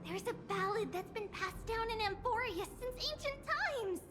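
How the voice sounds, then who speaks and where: A high, girlish voice an eager young game heroine. She is expressive and well-mannered, and music plays clearly behind her. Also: moderate pace. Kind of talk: video game voice acting.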